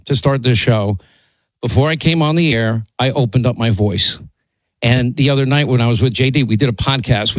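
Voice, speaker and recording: A middle-aged radio host with a hoarse, strained voice, talking in a long rambling monologue. He circles back and repeats words but keeps pushing on. The studio sound is close and dry. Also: mid-range voice, no echo, low-quality recording. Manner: rambling, repeats himself, pushes on. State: hoarse from laryngitis. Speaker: radio talk show host.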